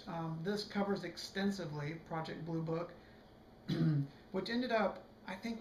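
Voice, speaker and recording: A hoarse man giving a casual, rambling video book review, full of fillers and restarts. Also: high voice, echoey room, slight background noise, rough recording.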